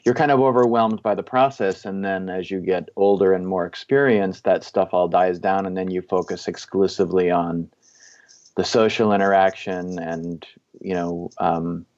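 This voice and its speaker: A man's voice: low voice, steady tone, normal speed, a little mellow, lo-fi sound. A man hosting a podcast, asking long, rambling questions in casual talk. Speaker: podcast host.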